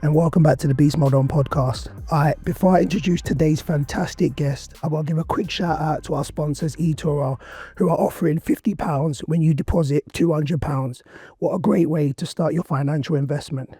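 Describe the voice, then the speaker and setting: Guy hosting a podcast, voice shot and husky, dark tone, dry close sound. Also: a little high, brisk, no room sound. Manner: hoarse, excitable street slang. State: losing his voice. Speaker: podcast host. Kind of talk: casual interview chat.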